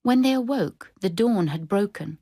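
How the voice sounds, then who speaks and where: A woman reading literary fiction for radio in a measured, descriptive storytelling manner. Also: medium pitch, animated, mellow, no echo, clean studio sound. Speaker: short story reader. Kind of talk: scripted literary narration for radio drama.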